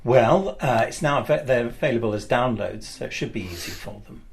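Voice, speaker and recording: A man's voice: medium pitch, lively intonation, brisk, gravelly, a little hiss or hum. An older man interviewing in a studio, jokey and self-mocking in casual chat. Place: recording studio.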